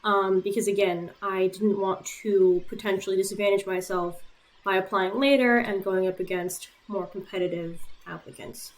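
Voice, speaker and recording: A young woman from Puerto Rico, a native Spanish speaker, talks her viewers through her own application as a vlogger. Her delivery is relaxed and matter-of-fact, with plenty of "um" fillers between points. Her voice sits at an ordinary middle pitch. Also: moderate pace, poor audio. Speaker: YouTuber and medical school applicant.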